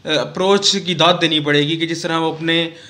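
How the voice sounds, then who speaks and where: A middle-aged man from Pakistan giving a formal current-affairs commentary vlog at a desk mic in a small studio, pausing often with "uh". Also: on the high side, steady tone, bright, forceful tone. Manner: measured, formal, with frequent "uh" pauses. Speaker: vlogger and news commentator. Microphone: desk mic on a stand close to the mouth.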